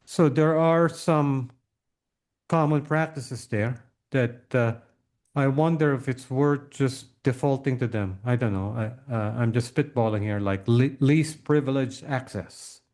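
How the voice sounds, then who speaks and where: A man's voice: medium pitch, unhurried, a little mellow. Man hosting, thinking aloud. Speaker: podcast host, interviewer. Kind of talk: casual interview discussion.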